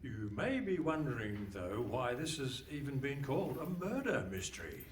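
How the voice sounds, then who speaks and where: A man's voice: mid-range voice, very animated, moderate pace, lightly acted. A man acting as a wry, bemused narrator in a comic radio play performed live. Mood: wry, questioning. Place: hall stage before a live audience. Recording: faint noise, distant stage mic.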